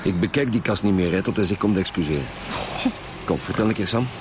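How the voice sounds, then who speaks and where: An actor with a Flemish accent playing a gruff, terse police commissioner in a radio drama. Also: male voice, low voice, fast, background noise, lightly acted. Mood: grumpy. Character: gruff, grumbling male police commissioner leading detectives.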